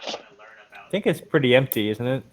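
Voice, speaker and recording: A man's voice: medium pitch, animated, moderate pace. A man talking casually and playfully as a player in a tabletop role-playing game.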